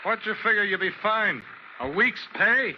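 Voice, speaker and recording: A scornful deckhand, curt and needling, in a slightly high voice. It is old radio drama with muffled sound and faint noise. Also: male voice, expressive, normal speed. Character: brusque, scornful sailor rousting a newcomer. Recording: muffled, faintly noisy vintage radio recording.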